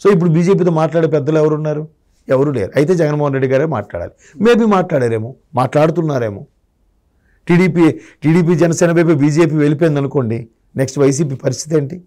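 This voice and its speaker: A man's voice: on the high side, quick pace, mellow. A man giving political commentary in an interview as an analyst, arguing through rhetorical questions.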